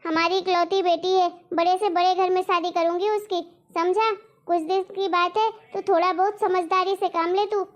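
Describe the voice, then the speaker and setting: A woman voicing a greedy, bossy middle-aged mother-in-law in a Hindi cartoon drama, nagging and insistent, with a pitch-shifted voice. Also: fairly even intonation, moderate pace. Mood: scolding, scheming. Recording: dull lo-fi sound.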